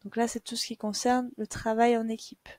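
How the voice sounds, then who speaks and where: A woman's voice: on the high side, level tone, normal speed, darkish tone, dry recording, clean studio sound. A young woman giving a patient, step-by-step screen tutorial as a teacher, with many fillers. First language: French. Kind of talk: screen-share tutorial lesson.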